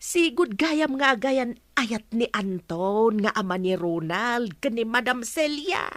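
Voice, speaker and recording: A woman's voice: a little high, lively intonation, takes it slowly, fairly bright tone, no room sound. An actress playing a gossipy younger household woman sharing secrets in an Ilocano audio drama. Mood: gossiping.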